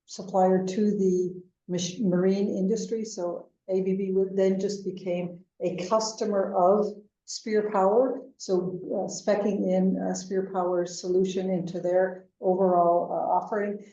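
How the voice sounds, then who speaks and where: American businesswoman guest, fifties, mellow tone, distant and dull line, measured with fillers. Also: mid-range voice, level tone. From United States. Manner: measured, with frequent ums and uhs. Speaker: venture capital executive, guest. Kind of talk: interview answers. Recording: distant, echoey, dull remote call audio.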